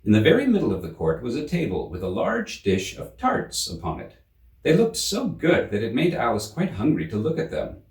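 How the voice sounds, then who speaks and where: A man reading a children's story aloud as an expressive storyteller. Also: medium pitch, normal speed. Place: indoor room. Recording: roomy, slightly distant microphone.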